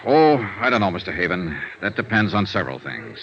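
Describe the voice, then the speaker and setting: An actor playing a wry, persistent insurance investigator in a vintage radio noir drama, clipped and probing. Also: male voice, sits low, very animated, brisk, gravelly, a bit bright, slight background noise, exaggerated voice. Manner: clipped expense-account narration, probing questioning. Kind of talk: 1950s radio noir acting, narration and dialogue. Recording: vintage radio recording, dull, music behind.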